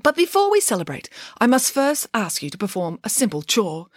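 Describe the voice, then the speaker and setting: A melodramatic mother pig from a storybook, acted out by a woman narrator, glamorous and loving but firm. Her voice is husky and swoops widely in pitch, recorded very dry. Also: huge pitch swings, brisk, dry recording. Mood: delighted, bossy. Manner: theatrical, dramatic.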